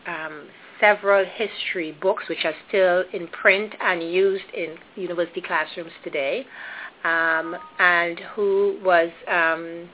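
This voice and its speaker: A Trinidadian woman with a low-set voice talks through her answers slowly and carefully. She fills gaps with ums and restarts her phrases. The sound is narrow and phone-like. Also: steady tone, a little hiss or hum. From Trinidad and Tobago. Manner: thoughtful, hesitant, many ums and restarts. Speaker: guest. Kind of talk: interview conversation.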